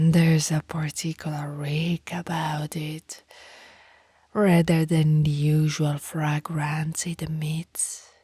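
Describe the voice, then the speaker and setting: A woman's voice: low-pitched, warm tone, no echo, clean studio sound, full character voice. A woman voicing a lovestruck female vampire in a whispered ASMR roleplay, courtly and formal, menacing yet apologetic. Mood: musing. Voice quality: breathy, largely whispered. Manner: slow, formal, old-fashioned romantic phrasing.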